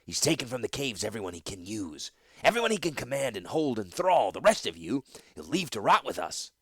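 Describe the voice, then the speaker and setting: A man's voice: medium pitch, very animated, brisk, a bit bright, breathy edge, dry recording, heavily acted. A man voicing a sick, bandaged male rebel leader who speaks urgently and insistently.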